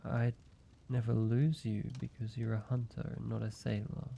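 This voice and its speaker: A man voicing a weary, guarded ancient vampire who is grieving yet tender, speaking haltingly in an intimate roleplay audio drama with a gravelly voice. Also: flat delivery, dark tone, slight background noise, close to an everyday voice. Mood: pained, conflicted. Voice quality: low, gravelly. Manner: slow, halting, hesitant delivery with pauses.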